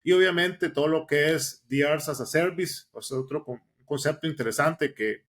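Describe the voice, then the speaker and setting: A man's voice: fairly high pitch, moderate pace, a bit bright. A man hosting a podcast, welcoming listeners enthusiastically with frequent "eh" fillers. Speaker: podcast host. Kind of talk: casual podcast chat.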